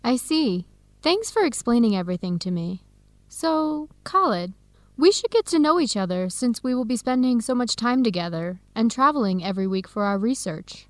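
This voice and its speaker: A woman's voice: a little high, lively intonation, normal speed, no echo, close to an everyday voice. An actress playing a friendly, curious, earnest young woman researcher in an educational radio drama, chatty and inquisitive.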